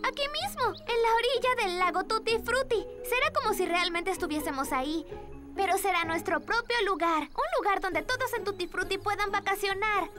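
A woman's voice: very high voice, moderate pace. A woman playing an eager, enthusiastic young girl in a children's cartoon, with a Latin American Spanish accent. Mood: excited, persuasive. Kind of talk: animated children's cartoon dubbing. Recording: music behind the voice.

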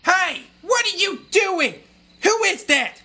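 A woman's voice: very high-pitched, very animated, slow pace, bright, forceful tone, heavily acted. A woman playing a strict, scolding boarding house matron in a pulp radio parody. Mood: indignant scolding.

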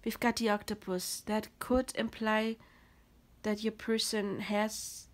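A woman with a German accent giving a pick-a-card tarot reading in a gentle, reassuring, explanatory way, her throat dry and her voice a little tired. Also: mid-range voice, flat delivery, slow, a little hiss or hum. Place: home, at a table. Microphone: camera over the table, speaker off screen.

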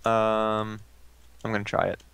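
A man chatting casually while playing a game, teasing and quick to laugh, on a headset mic. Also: lowish voice, monotone, creaky, fairly bright tone, clear, resonant tone, no echo. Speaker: let's play co-host. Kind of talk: casual gaming chat.

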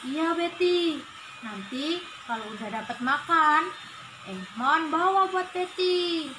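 A woman voicing a kind, protective young monkey in simple childlike talk with drawn-out endings, for a children's puppet story. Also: high-pitched, animated, pushed and bright, a little hiss or hum, heavily acted, poor audio. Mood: caring.

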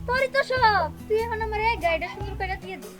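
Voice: very high-pitched, fast talker. An actor playing a pushy, whiny young thief who scares easily, in an amateur comic drama. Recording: music behind the voice.

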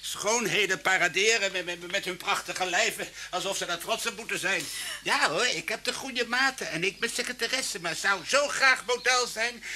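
Crazed kidnapper in old radio play, highish voice, stammery repeats, noisy old recording. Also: male voice, bright, forceful tone. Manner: agitated, halting, repeating himself. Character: deranged, armed kidnapper, jealous and menacing man.

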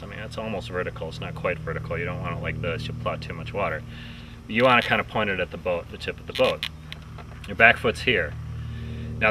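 A man teaching water ski technique on a lakeside dock, explaining step by step as he shows the ski. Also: low-pitched. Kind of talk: outdoor instructional demo. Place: outdoors on a dock by a lake. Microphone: distant camera mic. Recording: distant outdoor mic with light noise.

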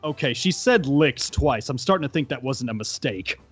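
A man's voice: mid-range voice, lively intonation, moderate pace, breathy edge, no echo, soft background music. A man playing a gloomy, sarcastic, awkward young man in a Minecraft roleplay, melodramatic and sardonic. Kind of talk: dry comic Minecraft roleplay.

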